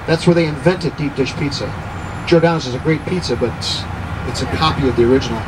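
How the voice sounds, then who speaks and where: A man's voice: fairly high pitch, fast. Chatty sixty-year-old male tour guide. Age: 60s. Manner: chatty, jokey, keeps quizzing the group. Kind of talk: guided city tour talk to a group. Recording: noisy outdoor recording with traffic.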